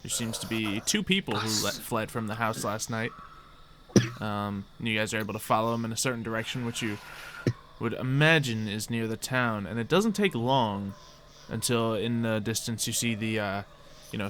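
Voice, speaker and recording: A man's voice: fairly low pitch, fairly bright tone, slight background noise. A man acting as game master, casually narrating and improvising a tabletop roleplay game.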